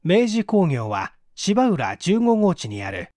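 A man reading a mystery novel aloud as scripted narration, measured and expressive. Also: high voice, dry recording, studio quality. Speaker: audiobook reader.

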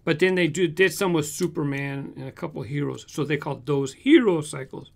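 A man's voice: on the high side, a little fast, creaky. A man in his fifties from Southern California, chatting casually and animatedly as a host answering viewer comments in a home room, speaking into a desk microphone. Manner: animated, gestures with hands, shows toy cars. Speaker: toy car collector channel host. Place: home room with shelves of toy cars. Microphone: large desk condenser mic in front.

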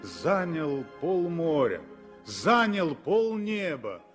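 A man's voice: on the high side, sing-song, very slow, faint music behind. A man narrating a 1960s radio drama with emphatic, dramatic storytelling.

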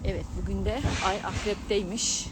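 A woman's voice: medium pitch, steady tone, normal speed. A middle-aged woman chatting casually and exclaiming to her followers in a giggly travel vlog style, filming herself outdoors on a handheld gimbal. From Turkey. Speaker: vlogger and astrologer. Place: outdoors at a mountain viewpoint. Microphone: handheld camera on a gimbal. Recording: loud outdoor urban noise with vehicles.

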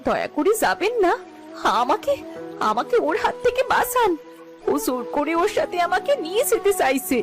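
A woman's voice: very high voice, very animated, normal speed. A woman voicing a poor but proud young village daughter in a cartoon, speaking with earnest emotion over music. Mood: pleading. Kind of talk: Bengali cartoon fairy-tale acting.

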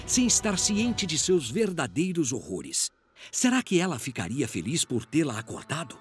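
Animated, higher-pitched Brazilian male narrator. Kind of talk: dramatic scripted story narration.

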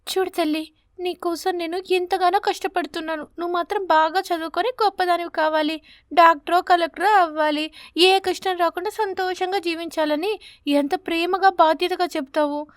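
A woman voicing a young schoolgirl sparrow in an animated story, loud, complaining and sharp-tongued. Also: extremely high pitch, normal speed, no echo, clean studio sound, pitched above the performer's own voice. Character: young schoolgirl sparrow, fed up and outspoken daughter. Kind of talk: animated bedtime story character.

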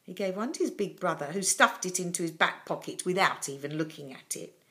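An older woman reading a children's story expressively and dramatically, with deliberate pauses, in a small room at home. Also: on the low side, expressive, fairly bright tone.

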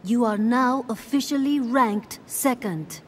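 Cool, seductive game femme fatale. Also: female voice, fairly high pitch, slow pace, breathy edge, shouting. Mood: cold, scornful. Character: cool, taunting, seductive female assassin league agent. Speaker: voice actor in a game. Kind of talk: video game cutscene acting. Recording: game audio with music underneath.

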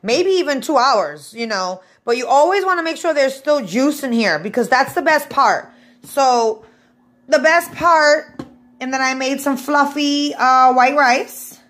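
A woman's voice: sits high, lively intonation, unhurried, a bit bright. A woman from the Bronx giving a casual, unscripted home cooking demonstration, chatting informally to viewers in her kitchen. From the Bronx, New York, USA. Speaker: home cook vlogger. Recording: echoey room, clear background noise.

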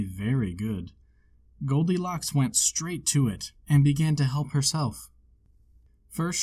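A man with a slightly high voice narrates a children's storybook. He reads aloud in a measured, expressive storytelling way. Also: husky. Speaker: storybook reader.

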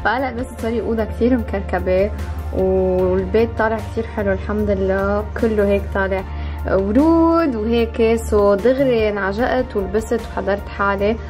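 A woman's voice: medium pitch, a little fast. A woman in her thirties with a Lebanese Arabic accent, chatting casually and effusively to her vlog, mixing in English. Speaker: vlogger. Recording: music behind the voice.

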